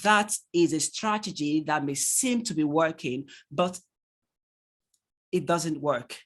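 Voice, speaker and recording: A man's voice: fairly high pitch, slow, a bit bright. A man with a Nigerian accent giving an online training lecture to parents, asking rhetorical questions and laughing often. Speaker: tutor.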